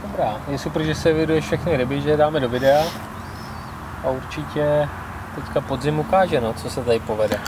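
Off-camera male interviewer, noisy outdoors. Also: fairly high pitch. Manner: brief, casual questions. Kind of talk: casual interview questions. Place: outdoors by a lake. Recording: outdoor recording with clear background noise.

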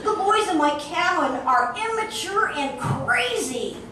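A woman's voice: extremely high pitch, expressive, unhurried, sharp tone, heavily acted. A woman reading aloud as a young boy who writes complaining emails home from summer camp, giggling through the lines. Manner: reads through frequent giggles. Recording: echoey room, far from mic, noisy.

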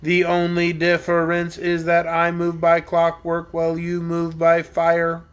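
A woman voicing a calm copper clockwork man who speaks slowly and evenly. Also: lowish voice, clear, resonant tone, close to an everyday voice. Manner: slow, calm, measured.

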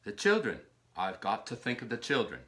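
A man's voice: on the low side, wildly swooping pitch, moderate pace, fairly bright tone. A man acting in a stage play as a cruel, agitated lover ending an affair, insistent and repetitive. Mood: grave.

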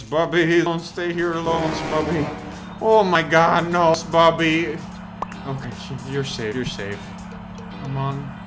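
A man hosting a casual gaming session, playful and teasing, laughing often and chatting with friends over a headset mic. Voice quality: deep-sounding mic voice. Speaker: gamer host. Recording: game music behind.

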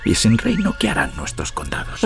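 An actor playing a fat, menacing medieval sheriff in an audio drama, sardonic and threatening, with a gravelly voice. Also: male voice, sits low, wildly swooping pitch, brisk, heavily acted. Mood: veiled menace. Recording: music behind the voice.

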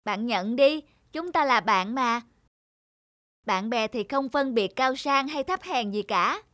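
A woman voicing a kind, generous Sun in a cartoon fairy tale, gentle and reassuring. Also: a little high, expressive, takes it slowly, dry recording, studio quality. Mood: kindly.

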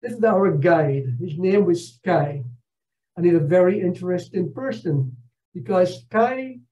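An older man from Barbados presents a slideshow with a fairly high, soft-edged mellow voice. He explains things in a measured, halting way. The sound is a slightly dull video-call recording from an indoor room. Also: lively intonation. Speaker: presenter, retired professor. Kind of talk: narrated travel slideshow talk.

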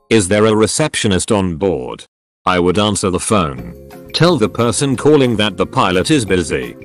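A man narrating, reading out online forum comments from a script. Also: lowish voice.

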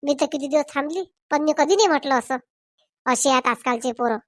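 A woman's voice: high voice, brisk, no room sound, natural voice. A woman voicing chatty, meddling village women in a Marathi comedy cartoon, with a rural Varhadi accent and colloquial, exclamatory talk. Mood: excited. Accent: rural Maharashtra, Varhadi Marathi.

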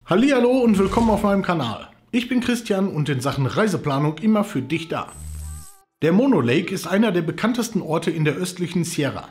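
A man's voice: high voice, lively intonation, brisk, a little mellow. A man in his forties hosting a travel channel, presenting scripted narration to camera indoors. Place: indoors, living room. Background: background music.

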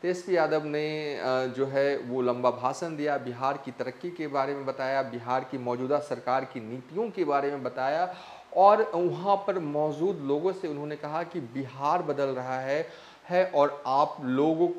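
A young man presenting a news report to camera with a lapel mic in a small studio, pausing often on "uh" fillers. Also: on the high side, highs cut off. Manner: halting, many "uh" fillers. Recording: roomy echo.

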